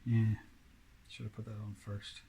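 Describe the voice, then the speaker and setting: Male DIY presenter, unhurried, halting, self-directed workshop chatter; noisy recording with clicking. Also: on the low side, monotone, mellow. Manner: muttering to himself while working, halting. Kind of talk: casual hands-on workshop narration. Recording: clearly noisy, with clicks and tool handling.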